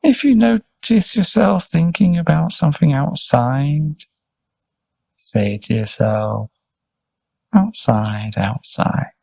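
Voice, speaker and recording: A man leading a guided meditation as a teacher, speaking gently and soothingly in short, unhurried instructions with pauses. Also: on the high side, gravelly, slightly rough, no room sound. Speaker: meditation teacher, Buddhist monk.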